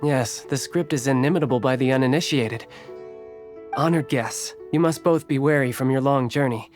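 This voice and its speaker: A man's voice: mid-range voice, moderate pace, a little mellow, soft background music, close to an everyday voice. A man playing a deferential merchant guild steward in a video game, formal and hospitable. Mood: courteous, formal. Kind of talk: game voice acting.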